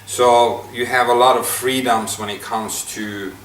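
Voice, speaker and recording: A man's voice: medium pitch, steady tone, a little slow, a bit bright. Man demonstrating in an echoey room. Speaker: product demonstrator. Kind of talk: unscripted product demonstration. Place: indoor room at a table. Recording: echoey room, distant mic, faint noise.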